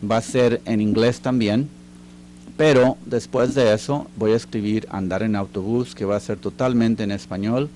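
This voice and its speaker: An older retired man, a guest in a studio interview, answering slowly with many fillers and restarts. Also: on the low side, steady tone, darkish tone, slight background noise. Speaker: guest, retired teacher and writer. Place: recording studio.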